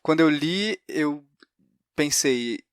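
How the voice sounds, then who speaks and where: A man's voice: a little high, animated, takes it slowly, clear, resonant tone, no echo. Brazilian male interviewer, close dry sound. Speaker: podcast host, interviewer. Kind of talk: conversational interview.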